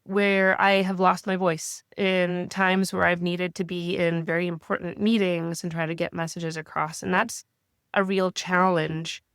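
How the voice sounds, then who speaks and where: A woman in her thirties who is getting over a cold, speaking as a guest in an interview in a measured, reflective way. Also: lowish voice, flat delivery, fairly bright tone, smooth, no room sound, clean studio sound. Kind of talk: interview conversation.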